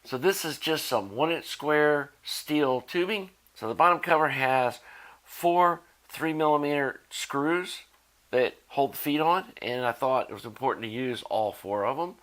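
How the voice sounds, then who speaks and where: Man, folksy, rambling, casual explainer, bright voice, mid pitch. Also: a little slow. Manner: folksy and rambling, many "you know"s. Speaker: electronics DIY video maker.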